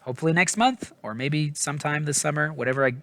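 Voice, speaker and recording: A man's voice: a little high, fast talker, a bit bright, no room sound. Casual rambling man, dry close sound. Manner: hesitant, stumbling, self-deprecating; informal, hedging, apologetic. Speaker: book review vlogger. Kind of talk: casual rambling book review.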